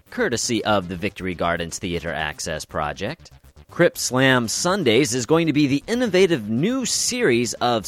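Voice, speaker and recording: A man hosting a theater news podcast, reading the news from a script in an upbeat, playful way. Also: lowish voice. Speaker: podcast host. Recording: faint music underneath.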